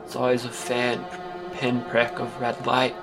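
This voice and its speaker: A man's voice: medium pitch, completely flat delivery, a little slow. A man performing a first-person audio drama monologue as narrator, in an awkward, earnest storytelling manner. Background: music.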